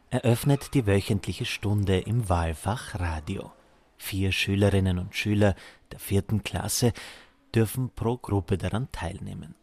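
Deep-voiced male radio reporter. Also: a little mellow. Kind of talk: scripted radio report narration.

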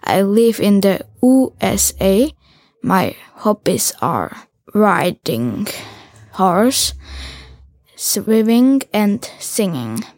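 A teenage girl, a Hungarian speaker, reading her own school text aloud slowly and carefully. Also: medium pitch, sing-song, a little mellow, dry recording.

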